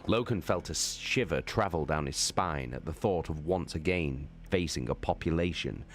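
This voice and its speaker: A man giving a dramatic, theatrical audiobook narration in a measured way. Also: extremely low pitch, soft background music. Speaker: narrator, live reader.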